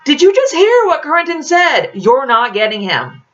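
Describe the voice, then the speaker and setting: A wary, hot-headed storybook man, played by a woman, makes biting demands. The delivery is animated in pitch. The audio is a bit dull. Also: measured pace, distant mic, full character voice. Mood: accusing.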